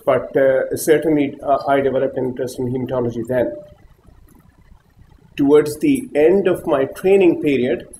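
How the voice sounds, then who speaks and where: A middle-aged man from Pakistan, a consultant haematologist, giving a conference talk to patients in a small room, halting and full of fillers. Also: mid-range voice, mellow, a little hiss or hum, poor audio. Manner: hesitant, many fillers, rambling asides.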